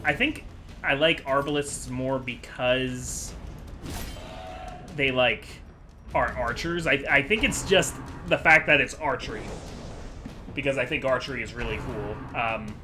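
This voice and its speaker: A man's voice: medium pitch, unhurried, long pauses, over music. A man giving casual, rambling commentary as the host of a gaming video, chatty and digressive as he thinks aloud.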